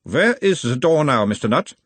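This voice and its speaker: A man's voice: mid-range voice, big pitch swings, normal speed, husky, no room sound, voice pushed up. A man voicing an insistent analyst persona in an audiobook, formal and clinical. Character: insistent, probing male analyst persona of a hypnotised creature.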